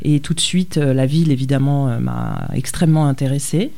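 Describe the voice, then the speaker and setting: A middle-aged woman historian, a guest on a radio interview, who explains at length with frequent thoughtful pauses and hesitations. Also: very deep voice, gravelly, mellow. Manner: thoughtful, many hesitations with "euh". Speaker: historian, university lecturer.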